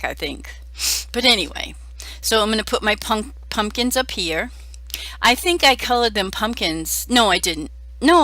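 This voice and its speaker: Hoarse middle-aged woman crafter, chatty and self-deprecating, bright tone. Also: mid-range voice, no echo, poor audio. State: hoarse from a cold. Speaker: card-making crafter. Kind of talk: casual crafting voice-over.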